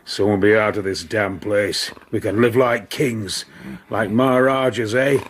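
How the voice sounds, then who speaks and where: A man's voice: fairly low pitch, steady tone, unhurried, close to an everyday voice. An actor playing a vengeful, exultant man, savouring his words in a radio drama. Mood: gloating. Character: gloating vengeful man, rough and exultant schemer. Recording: very noisy radio drama soundscape.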